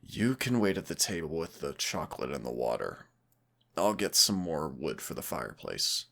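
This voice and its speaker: A man's voice: a bass voice, very animated, moderate pace, gravelly, a bit bright, exaggerated voice. A man playing an affectionate, cautious and playful boyfriend in an intimate audio roleplay, speaking in a tender, reassuring, conversational way. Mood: caring, reassuring.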